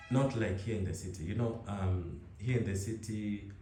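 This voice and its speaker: Deep-voiced Kenyan man, easygoing chat. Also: normal speed, roomy sound, soft background music. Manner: relaxed, rambling, frequent restarts and laughs. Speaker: interviewee. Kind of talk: casual conversation.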